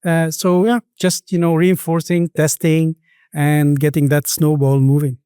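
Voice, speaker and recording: A middle-aged man from the Middle East and Sweden speaking reflectively as a podcast guest, with halting phrasing and repeated words. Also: a little high, flat delivery, warm tone, clean tone, dry recording, studio quality. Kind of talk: reflective interview conversation.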